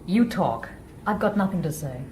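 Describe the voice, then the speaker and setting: An actress playing a scornful, defiant suspect in a radio drama, curt and sarcastic. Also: female voice, fairly low pitch, animated, normal speed, a little mellow, natural voice. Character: defiant, scornful female suspect hostile to robots. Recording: echoey, slightly noisy radio drama recording.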